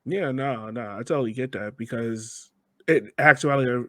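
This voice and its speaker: A man's voice: medium pitch, moderate pace, bright, forceful tone, no echo. A man hosting a casual podcast interview, talking informally and thinking aloud as he frames his questions. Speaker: podcast host.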